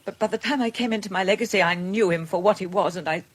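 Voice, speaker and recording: Husky, earnest British woman, played by an actress. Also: medium pitch, fast, close to an everyday voice. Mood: wistful, regretful. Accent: British English. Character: gentle, independent middle-aged woman with a wretched marriage. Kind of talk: period radio drama acting.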